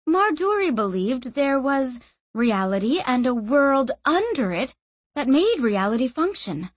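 Woman playing an earnest, high-voiced young female scholar. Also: very animated, unhurried, no echo, full character voice. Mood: curious. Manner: wondering, questioning.

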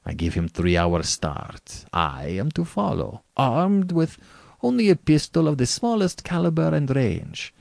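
A man's voice: fairly high pitch, very animated, a little slow, creaky, a little mellow, no room sound, pitched above the performer's own voice, full character voice. A man voicing an urbane, arrogant aristocratic general in a story, with bland, precisely worded condescension. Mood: bland, cruel. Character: urbane, arrogant male aristocrat general who hunts men.

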